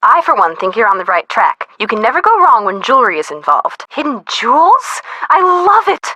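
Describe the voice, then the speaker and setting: A woman's voice: on the high side, very animated, fairly quick, bright, forceful tone, no room sound, heavily acted. A woman playing a boy-crazy, dramatic teenage girl in a video game, with a gushing, girlish delivery. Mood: excited, gushing.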